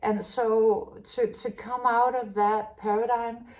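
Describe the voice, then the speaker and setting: A woman from Denmark giving a tarot reading in a halting, musing way, with many restarts and fillers. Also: fairly high pitch, flat delivery, a little mellow. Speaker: tarot reader. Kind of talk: spontaneous spiritual tarot reading.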